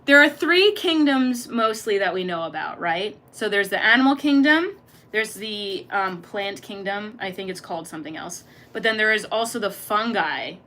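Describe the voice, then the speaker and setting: A young woman live-streaming, chatting casually and reacting to her chat in a rambling, slangy, animated way. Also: mid-range voice. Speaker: livestreamer reading chat.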